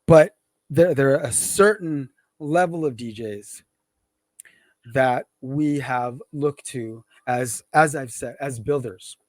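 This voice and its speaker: A man's voice: medium pitch, takes it slowly, dry recording. A man hosting an interview podcast, talking in a hype, emphatic way with hip hop slang. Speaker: podcast host.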